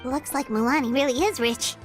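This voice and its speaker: A woman playing a tiny, childlike fairy companion in a video game, with a squeaky, cutesy voice. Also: fairly quick, faint music behind, lightly acted. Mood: concerned. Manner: cutesy, refers to self in third person. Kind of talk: English video game voice acting.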